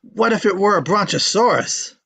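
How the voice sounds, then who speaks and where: A woman's voice: mid-range voice, lively intonation, normal speed, a bit bright, husky, dry recording. A woman playing a loyal quest companion at a screenplay table read. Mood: questioning.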